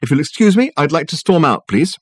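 A man voicing an indignant, sharp-tongued young ex-secretary who speaks in a clipped, haughty, emphatic way. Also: a little high, sing-song, a little fast, breathy edge, dry recording, clean studio sound, voice pushed up.

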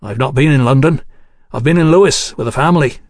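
A man playing a gruff, sceptical English field scientist in an audiobook, measured, wry and matter-of-fact. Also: mid-range voice, no echo, clean studio sound, close to an everyday voice. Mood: plain, friendly.

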